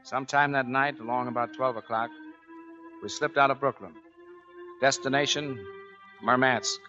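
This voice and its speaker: An actor playing a tough, commanding American Navy chief in a 1940s radio drama, with gruff, slangy straight talk. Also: male voice, mid-range voice, steady tone, moderate pace, over loud music, lightly acted. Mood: terse narrating. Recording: dull, band-limited 1940s radio recording.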